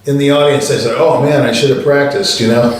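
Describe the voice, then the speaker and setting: An older man, a pianist hosting a show, telling anecdotes in a relaxed, conversational way with many fillers. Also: mid-range voice, brisk, slight background noise. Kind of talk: storytelling monologue. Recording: distant mic in a very echoey room.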